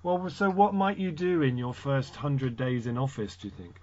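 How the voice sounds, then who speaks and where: A man interviewing a guest for his book, framing his questions in a long, measured way. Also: medium pitch, highs cut off, a crowd in the background.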